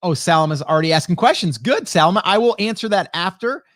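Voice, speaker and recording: Higher-pitched man chatting casually on livestream. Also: expressive, a little fast, fairly bright tone, no echo, clean studio sound. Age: late 40s. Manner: conversational, greets viewers by name, rhetorical check-ins. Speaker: host, online marketing coach. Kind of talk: casual live stream chat with viewers. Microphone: close broadcast dynamic microphone.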